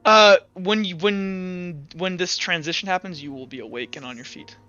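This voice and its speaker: Male game master, informal and explanatory, high register, dull lo-fi roomy sound with faint music behind. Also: moderate pace, bright, forceful tone, smooth. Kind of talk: casual talk running an online tabletop roleplaying game.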